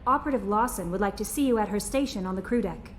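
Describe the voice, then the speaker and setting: A woman plays a friendly crew assistant in a sci-fi game. Her medium-pitched voice is polite, attentive and eager to help, with faint ship ambience behind. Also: quick pace, slight background noise, natural voice. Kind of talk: video game character acting.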